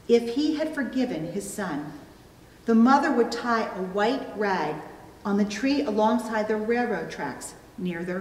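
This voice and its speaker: A middle-aged woman delivers a sermon in a medium-pitched voice. She speaks slowly and deliberately, pausing to weight her phrases. The sound is echoey, as in a reverberant church interior. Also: a little mellow. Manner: deliberate phrasing with pauses for emphasis. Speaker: pastor. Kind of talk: sermon with illustrative stories. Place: church pulpit indoors, recorded from a distance. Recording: echoey hall, long reverb.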